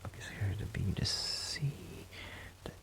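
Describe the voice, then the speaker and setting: A man chatting casually and intimately in an ASMR roleplay, soft and hesitant, self-deprecating, often dropping close to a whisper. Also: normal speed, rough recording. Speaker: ASMR performer and amateur musician friend.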